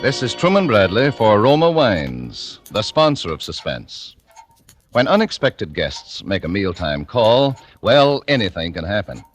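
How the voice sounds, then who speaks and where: Lively, polished 1940s radio pitchman. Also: a little slow, soft background music. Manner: polished, salesmanlike, emphatic. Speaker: announcer for sponsor. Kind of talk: 1940s radio advertising copy.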